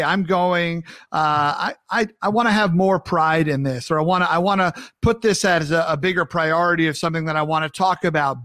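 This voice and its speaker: A man with a New York accent hosts a relaxed interview and talks in animated, rambling bursts full of restarts. His voice sits high for a man. The sound is close and dry, with no room around it. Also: no echo. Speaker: podcast host. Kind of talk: conversational interview.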